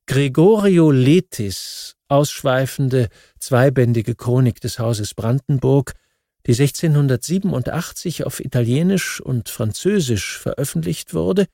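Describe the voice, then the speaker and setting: A man reads a history book as scripted audiobook narration, in a measured, factual manner. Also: mid-range voice, vocal fry, mellow, no room sound, studio quality.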